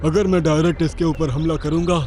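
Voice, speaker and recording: A man voicing a shrewd lion king in a children's cartoon, with deliberate, regal delivery. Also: on the high side, fairly even intonation, mellow, faint music behind, natural voice. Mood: pondering. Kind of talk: children's cartoon character acting.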